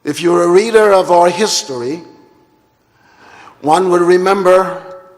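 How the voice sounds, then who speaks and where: Slow, formal man in a hall. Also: sits high. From Guyana. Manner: measured, deliberate and formal, with long pauses. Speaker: conference speaker. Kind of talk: formal plenary address. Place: large conference hall. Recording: hall reverb.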